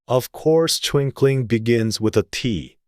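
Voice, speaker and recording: A man as a slow, pompous king. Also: medium pitch, darkish tone, no room sound, clean studio sound, natural voice. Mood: sharp. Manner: pompous, stern. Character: curt, pompous, bossy storybook king.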